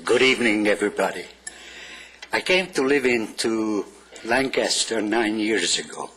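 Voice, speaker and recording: An older man from Transylvania with a Hungarian accent gives a public comment at a council meeting, speaking in halting, measured short phrases. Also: on the low side, lively intonation, bright, forceful tone, husky.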